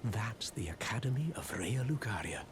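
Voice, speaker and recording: Meek, lilting, hapless sorcerer. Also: male voice, sing-song, normal speed. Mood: apologetic, rueful. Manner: hesitant, deferential, lilting. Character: meek, apologetic, hapless fledgling sorcerer, polite beggar. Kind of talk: scripted fantasy game dialogue. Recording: clear background rumble and noise.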